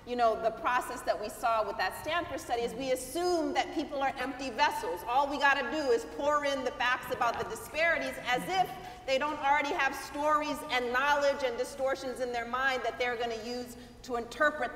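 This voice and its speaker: A woman's voice: on the high side, a bit bright, a little hiss or hum. Woman panelist in an echoey hall. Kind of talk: panel discussion answer. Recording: echoey hall, mic from a distance.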